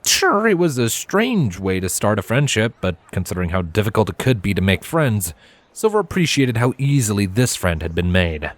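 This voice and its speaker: A man narrates a story expressively. His voice sits a little low and moves widely in pitch as he reads. The recording is dry, with very little room sound. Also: very animated, normal speed, no echo. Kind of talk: expressive scripted narration of fan fiction.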